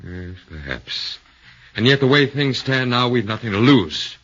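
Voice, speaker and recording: An actor playing an earnest, somewhat hesitant defense lawyer in a 1940s radio play. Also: male voice, mid-range voice, lively intonation, a little slow, a bit bright. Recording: dull lo-fi old radio broadcast.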